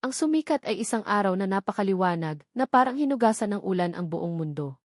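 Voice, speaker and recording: Female narrator, mid-range voice, scripted drama storytelling, deliberate phrasing, dry studio-like sound. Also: medium pitch, lively intonation, dry recording. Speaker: audiobook narrator.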